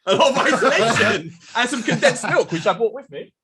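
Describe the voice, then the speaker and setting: A man's voice: very high-pitched, very animated, fairly quick, over a noisy crowd. A man bantering casually as a podcast guest, with a jokey, heckling manner. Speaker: podcast guest, gaming streamer.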